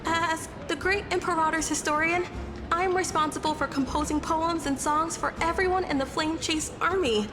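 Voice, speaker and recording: Very high-voiced timid girlish poet. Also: fairly even intonation, normal speed. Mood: earnest, troubled. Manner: shy stammer. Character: timid young female poet-historian, earnest and excitable. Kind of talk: anime game voice acting. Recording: music behind the voice. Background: game music.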